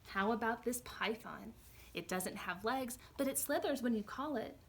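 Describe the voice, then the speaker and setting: A woman reading a storybook aloud, voicing an eager-to-please male animal shelter keeper in a slightly lowered voice. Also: normal speed, a little hiss or hum, natural voice. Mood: coaxing.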